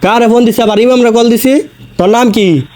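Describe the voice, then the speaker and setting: A man's voice: very high-pitched, fairly quick, a little mellow. A man playing an irate, bullying mosque committee president, scolding and interrogating on a prank call. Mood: angry scolding.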